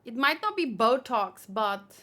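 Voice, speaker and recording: A woman doing casual livestream commentary, reading aloud and reacting in a chatty, expressive way. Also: on the high side, measured pace. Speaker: manga read-along streamer.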